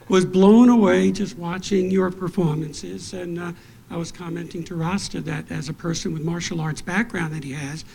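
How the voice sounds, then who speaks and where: A man's voice: sits high, vocal fry, warm tone, a little hiss or hum, low-quality recording. A man moderating a panel discussion, asking inquisitive questions with hesitant restarts.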